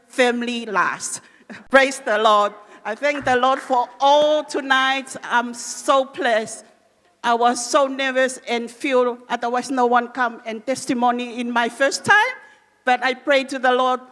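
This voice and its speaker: A woman's voice: high voice, fairly bright tone. A woman gives an earnest church testimony. Her English is simple, since her is Māori. Her voice rings with the long reverb of a church hall. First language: Cook Islands Māori. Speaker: church member giving testimony.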